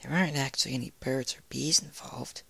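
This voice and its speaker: A man voicing a timid, soft-spoken female pegasus pony in a fan fiction reading, hesitant and breathy. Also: mid-range voice, fairly even intonation, fairly quick, no room sound, full character voice. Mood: flustered, hesitant. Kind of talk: fan fiction read aloud in character.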